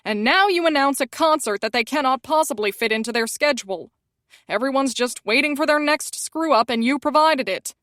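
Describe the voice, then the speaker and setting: A woman's voice: sits high, normal speed, a bit bright, no echo, studio quality. A woman voicing a panicky male publicist with a grating whine and a frantic, accusing way of talking. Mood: whining.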